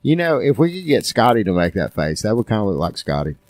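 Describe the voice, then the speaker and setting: A low-voiced man hosts a podcast, joking crudely and swearing freely. His pitch jumps around a lot as he talks. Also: very animated, fast talker, a little mellow, dry recording. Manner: crude, joking, profane banter. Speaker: podcast host. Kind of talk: casual chat.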